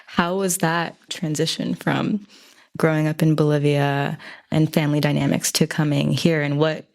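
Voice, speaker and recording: A woman's voice: sits low, flat delivery, moderate pace, creaky, darkish tone. A woman in her twenties who grew up in Taiwan, co-hosting an interview, affirming the guest and asking open questions. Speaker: podcast co-host. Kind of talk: casual conversational interview.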